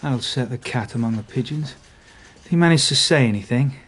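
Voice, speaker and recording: An actor as a terse inspector, noisy recording. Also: male voice, medium pitch, fairly quick, fairly bright tone, close to an everyday voice. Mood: terse, questioning. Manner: terse, wry. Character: understated, dry male police chief inspector. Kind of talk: radio drama acting.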